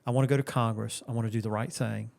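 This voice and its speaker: A man's voice: fairly low pitch, fairly even intonation, racing, creaky, darkish tone. Earnest fifty-something man, plain answers. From Florida. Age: 50s. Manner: earnest, repetitive, with restarts. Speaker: congressional candidate. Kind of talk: interview answers.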